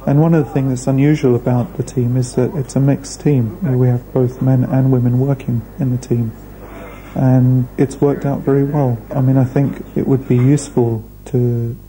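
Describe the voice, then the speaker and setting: A man, speaking thoughtfully in a documentary interview with measured pauses. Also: mid-range voice, little pitch movement, warm tone, slight background noise, rough recording. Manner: measured, thoughtful, hesitant fillers. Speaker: restaurant founder. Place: indoor room.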